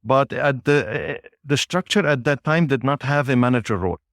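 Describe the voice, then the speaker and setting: A man of Lebanese origin, a native Arabic speaker, answering interview questions in a measured way with frequent pauses. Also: medium pitch, a bit bright, no room sound, clean studio sound. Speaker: guest, business executive.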